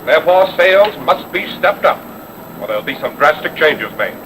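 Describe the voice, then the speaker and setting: Pushy rich boss on a spaceship, curt, pompous, highish voice, noisy old radio drama. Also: male voice, sing-song, moderate pace. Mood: stern, scolding. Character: arrogant, demanding, impatient rich businessman aboard a spaceship. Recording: noisy vintage radio recording, engine drone.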